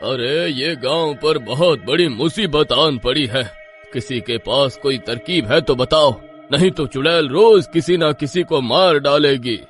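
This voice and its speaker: An actor playing an exasperated, grudging village landlord in a horror story, with a grumbling, complaining manner. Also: male voice, medium pitch, unhurried, a bit bright, faint music behind, natural voice. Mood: worried.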